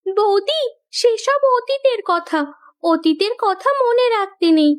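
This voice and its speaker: A forgiving young married daughter from a cartoon family tale, acted by a woman. Her voice sits extremely high, and she speaks gently and reassuringly, with a cheerful temper. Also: animated, slow, full character voice. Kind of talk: Bengali moral cartoon voice acting.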